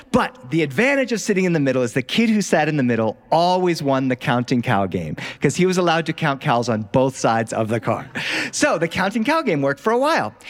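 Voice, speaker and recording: A middle-aged man performs as a live storyteller. His voice sits a little high and moves around a lot in pitch. He talks in a conversational way, drawing listeners in with questions. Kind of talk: live storytelling of childhood memories to an audience. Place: recording studio in front of live listeners.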